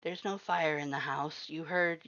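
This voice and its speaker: A narrator voicing a gruff male skeleton monster, guarded but protective, with a raspy, mumbling delivery in a story reading. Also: steady tone, unhurried, pushed and bright, no room sound, dull audio. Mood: firm, gruff. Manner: low-key, mumbling.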